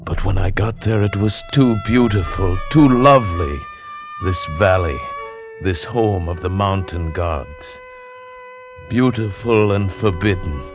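A man's voice: sits low, takes it slowly. An actor playing an earnest, yearning young man in love, who also narrates the story in an old radio drama. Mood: wistful narration. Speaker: narrator and lead. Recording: lo-fi old radio recording with music.

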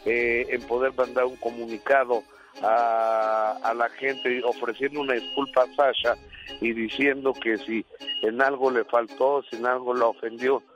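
A man's voice: mid-range voice, level tone, measured pace, fairly bright tone, highs cut off, soft background music. A man giving entertainment news on a radio show, talking casually and hesitantly with many fillers.

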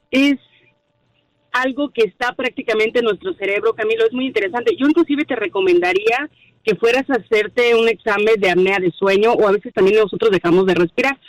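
A woman's voice: on the high side, no echo, rough recording. A woman from Mexico explaining things earnestly as a guest dream interpreter in a radio talk.

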